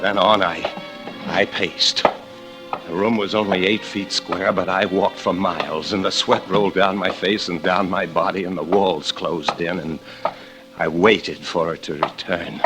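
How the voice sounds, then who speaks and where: A haunted killer in hiding, narrating a vintage radio thriller in a low voice. He speaks theatrically, haltingly, repeating himself in dread, over dramatic music. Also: steady tone, poor audio. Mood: haunted, frantic. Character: desperate man hiding out, haunted killer fearing his wife. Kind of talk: 1940s radio drama acting, first-person suspense narration. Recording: old radio recording with organ music.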